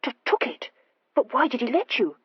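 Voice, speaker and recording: Lady doing a stammering young noblewoman, high breathy voice, earnest and gushing, storybook romance, bit muffled. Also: sing-song, normal speed, no room sound, exaggerated voice. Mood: puzzled, probing. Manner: hesitant, gushing confession with emphatic outbursts. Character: breathless impulsive young wife, anxious, earnest aristocrat.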